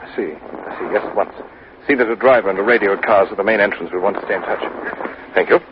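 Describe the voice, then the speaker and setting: An actor playing a courteous, unflappable British police inspector with a clipped, formal manner, in 1950s radio style. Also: male voice, on the low side, fast talker, natural voice. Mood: grave. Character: courteous, unflappable male Scotland Yard inspector, formal and precise. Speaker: supporting actor in a radio drama. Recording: muffled, noisy vintage radio recording.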